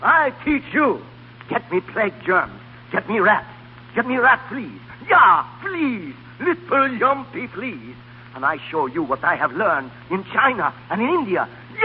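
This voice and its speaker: Husky, high-voiced Scandinavian plague doctor. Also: male voice, wildly swooping pitch, takes it slowly, full character voice. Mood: boisterous, insistent. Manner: emphatic, broken English. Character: boisterous, zealous foreign plague doctor. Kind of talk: old-time radio drama acting. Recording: tinny old radio broadcast.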